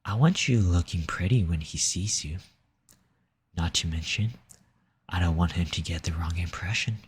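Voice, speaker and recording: A man playing a possessive, seductive demon in an intimate audio roleplay, speaking formally in a breathy, coaxing, menacing way. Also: deep voice, moderate pace, a little hiss or hum, lightly acted. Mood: commanding, smooth. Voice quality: husky, breathy, often dropping into whisper. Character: possessive seductive male demon, silver-tongued and domineering.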